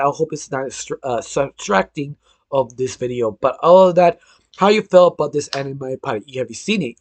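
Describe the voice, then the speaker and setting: A man with a hoarse voice from illness, hosting a casual cartoon news roundup, rambling with lots of filler phrases and restarts. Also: on the high side, dry recording. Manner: rambling, heavy use of "sort of", frequent restarts. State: hoarse, losing his voice from illness. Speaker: cartoon news channel host.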